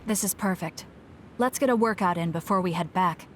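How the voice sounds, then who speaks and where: A woman's voice: lowish voice, normal speed, a little hiss or hum, lightly acted. An actress playing a stoic, blunt young woman fighter in a video game, speaking in a matter-of-fact, terse way. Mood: cool, reserved. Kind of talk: English video game voice acting.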